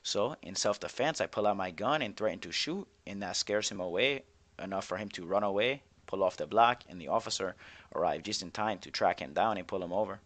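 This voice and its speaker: A man's voice: low voice, animated, fairly quick, fairly bright tone. A man roleplaying a defendant, telling his side of the story in court in a rambling way with lots of fillers. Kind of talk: casual roleplay testimony.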